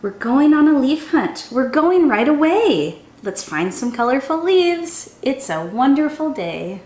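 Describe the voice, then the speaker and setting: Animated, high-voiced young woman reading to children. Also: a little slow. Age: young adult. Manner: animated, sing-song, addressing children directly with questions. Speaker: children's storytime teacher and host. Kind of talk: expressive picture-book read-aloud for young children. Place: small room indoors. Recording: roomy indoor echo.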